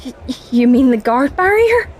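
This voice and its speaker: A woman's voice: sits high, sing-song, moderate pace, darkish tone, slight background noise. An actress playing a bewildered woman space commander who stammers and halts after a crash. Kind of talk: audio drama acting.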